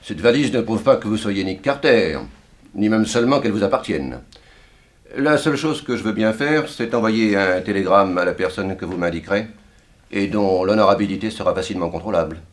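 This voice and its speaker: Actor as low-voiced, curt police inspector. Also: male voice, brisk, close to an everyday voice. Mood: firm, sceptical. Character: curt, sceptical, authoritative male police inspector. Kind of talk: 1960s French radio drama acting.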